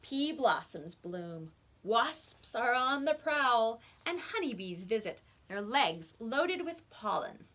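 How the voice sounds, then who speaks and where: A woman with a slightly high voice reads a storybook aloud for young children. Her delivery is expressive and swings widely in pitch, as a teacher's does when stressing the key words of a tale. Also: takes it slowly. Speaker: teacher reading picture books aloud.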